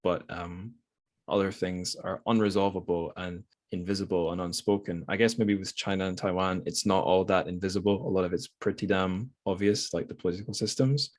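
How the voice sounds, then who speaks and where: A man's voice: deep voice, steady tone, a little fast, a little mellow, no room sound, clean studio sound. A man with a Scottish accent hosting a podcast, talking casually and reflectively about books in a rambling, wry, self-correcting way. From Scotland. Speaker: podcast host. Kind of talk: casual reflective book discussion.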